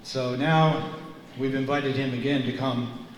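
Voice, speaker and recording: A man's voice: mid-range voice, fairly even intonation, unhurried, slight background noise. An older man acting as host, formally introducing the guest speaker on a handheld mic in a large hall. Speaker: event host. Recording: distant, very echoey hall.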